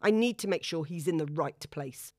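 A woman voicing an irate, domineering middle-aged father who shouts and bullies. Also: low voice, moderate pace, dry recording, clean studio sound, natural voice. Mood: angry. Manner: shouting, bullying, demanding.

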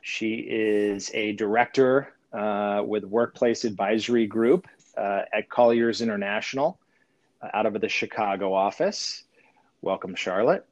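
A man hosting a conversational podcast interview, putting his questions in a slightly halting way. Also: on the low side, no echo.

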